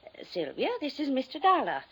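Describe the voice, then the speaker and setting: A woman's voice: high-pitched, huge pitch swings, fairly quick, fairly bright tone, husky, dull audio, exaggerated voice. A woman playing a genteel, flighty older widow who chatters and trails off, in 1950s radio style. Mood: gracious, fluttery. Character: flighty, genteel old former showgirl, reclusive widow. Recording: noisy vintage radio drama recording.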